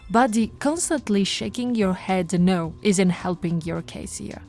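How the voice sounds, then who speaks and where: A woman's voice: medium pitch, lively intonation, takes it slowly, a little mellow, soft background music. A woman voicing a flirty but firm policewoman in an ASMR roleplay, with a teasing, coaxing, authoritative manner. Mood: exasperated. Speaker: voice actor in roleplay audio.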